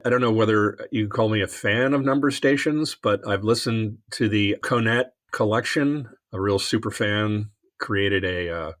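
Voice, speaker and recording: A man with a fairly low voice speaks as a podcast host. He sounds measured and thoughtful, setting out his points conversationally before he puts a question to a guest. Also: fairly bright tone. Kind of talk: conversational interview.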